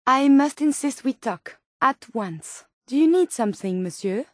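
A woman's voice: high-pitched, animated, measured pace, no echo, clean studio sound. An actress voicing a polite, curious female robot scientist from a video game, with a French accent and formal, precise, earnest phrasing. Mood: insistent, polite. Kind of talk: video game companion dialogue.

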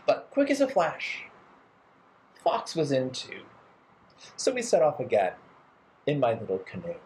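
A playful man tells a story to children at an unhurried pace, his pitch swooping widely. His phrasing is deliberate and theatrical. Some background noise sits behind the voice. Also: wildly swooping pitch, a little mellow, rough recording. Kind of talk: animated children's storytime narration. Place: bright living room indoors.

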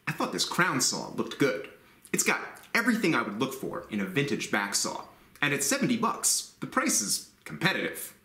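A man's voice: medium pitch, pushed and bright. A man in his forties hosting a woodworking video, giving a blunt, enthusiastic tool review to camera in his workshop. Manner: enthusiastic, blunt, jokey asides. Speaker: woodworking channel host. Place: woodworking shop. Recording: roomy workshop echo.

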